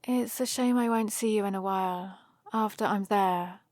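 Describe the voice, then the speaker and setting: A woman's voice: medium pitch, normal speed, a little mellow, no echo, clean studio sound, lightly acted. A woman playing a nerdy, cheerful young heroine in an ASMR roleplay, rambling in a friendly, explaining way to the listener. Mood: amused, soft.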